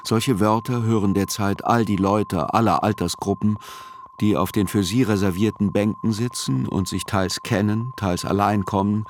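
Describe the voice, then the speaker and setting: A man reads a scripted literary radio narration in a measured, sober way. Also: lowish voice, background noise.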